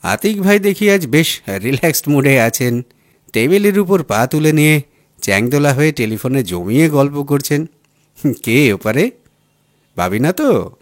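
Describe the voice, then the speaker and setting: A man acts out a down-at-heel male writer out of work, talkative and apologetic, in an audiobook story. The pitch swings in a plaintive, familiar way, and the sound is dry. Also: sing-song, normal speed, long pauses, dry recording. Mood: teasing familiarity. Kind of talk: audiobook character dialogue.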